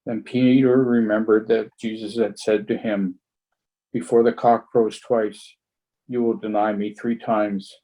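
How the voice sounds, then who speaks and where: A man's voice: fairly low pitch, little pitch movement, measured pace, mellow, low-quality recording. A man reading scripture aloud as a church member, narrating from a text.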